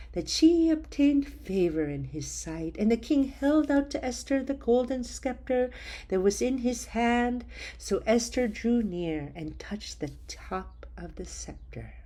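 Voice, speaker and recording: A woman reading scripture aloud in a slow, measured, solemn way. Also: a little high, lively intonation, mellow. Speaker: scripture reader.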